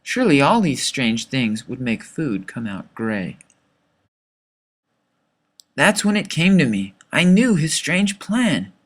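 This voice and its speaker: A man reading a rhyming children's story as its author, with dramatic, expressive delivery. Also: medium pitch, takes it slowly, pauses often.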